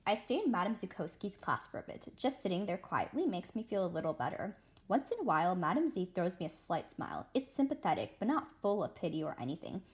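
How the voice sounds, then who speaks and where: Animated woman reading a story aloud. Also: lowish voice, fast. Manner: dramatic, occasional stumbles over words. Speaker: read-aloud host. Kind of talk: expressive storybook narration.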